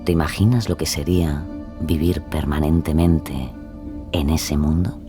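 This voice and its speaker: Very deep-voiced male narrator. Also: measured pace, music in the background. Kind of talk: scripted atmospheric narration.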